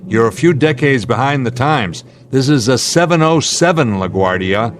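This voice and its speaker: An actor playing a steady, authoritative airline captain under strain in a radio drama, speaking deliberately. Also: male voice, medium pitch, lightly acted. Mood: exasperated, insistent.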